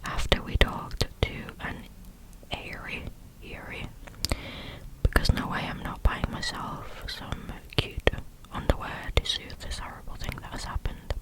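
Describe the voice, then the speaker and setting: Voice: measured pace, poor audio. Constant unvoiced whisper, ASMR storyteller. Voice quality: breathy, unvoiced whisper throughout. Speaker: host reading listeners' or online stories aloud. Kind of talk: whispered ASMR reading with casual commentary.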